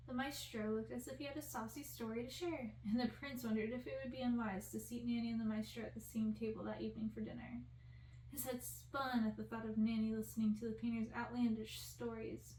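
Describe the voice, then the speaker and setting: A woman's voice: a little high, a little mellow, slight background noise. A woman reading a fairy-tale novel aloud as scripted ASMR narration, recorded at a distance in a roomy space. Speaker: audiobook-style reader for ASMR channel.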